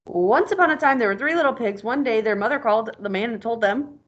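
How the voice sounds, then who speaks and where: Higher voiced lady teacher doing a read-aloud, asks questions as she goes, kinda lo-fi audio. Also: quick pace. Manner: encouraging, prompting with questions. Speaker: teacher reading aloud as a parent.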